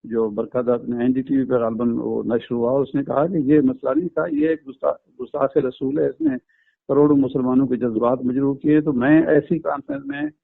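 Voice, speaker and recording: A man from Pakistan giving a phone interview, explaining his account in a measured, earnest way with frequent hesitations. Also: mid-range voice, animated, vocal fry, husky, no echo. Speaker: interview guest. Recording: tinny phone line.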